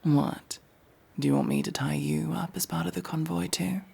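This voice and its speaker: A man playing a guarded, dry elf mercenary in an ASMR fantasy roleplay, gruffly protective and matter-of-fact, with a gravelly, sometimes breathy voice. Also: fairly high pitch, steady tone, normal speed, darkish tone, full character voice. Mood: dry, casual. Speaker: voice actor in audio roleplay.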